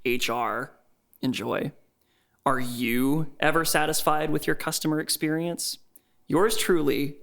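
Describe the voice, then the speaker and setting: A man's voice: on the high side, vocal fry, a bit bright. A man playing a bitter, sinister tech worker in a dramatic, sardonic first-person horror story reading. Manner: theatrical, sardonic.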